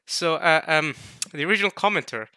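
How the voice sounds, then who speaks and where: A man in his late teens chatting casually to viewers as a video host, jokey and animated, repeating words in bursts as he rambles. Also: fairly high pitch, fairly quick, dry recording. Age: late teens, turning twenty. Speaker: host of a video series reading a visual novel.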